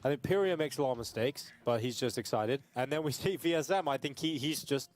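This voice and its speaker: Young guy casting esports, steady mid voice, calm delivery. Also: medium pitch, brisk, no room sound. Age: young adult. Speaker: esports caster. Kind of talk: play-by-play commentary.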